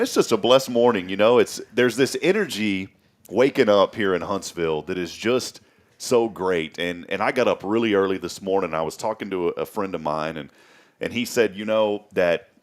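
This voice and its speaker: A man with a fairly low voice hosts a morning talk show from a studio. He talks casually and rambles, doubling back on his words as he goes. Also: expressive, normal speed. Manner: rambling, repeats words often. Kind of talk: casual chat.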